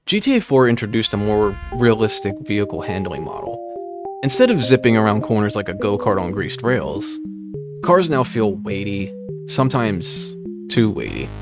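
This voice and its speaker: A man reading a scripted video essay in a dry, wry, measured way. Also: fairly low pitch, sing-song. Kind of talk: scripted narration. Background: background music.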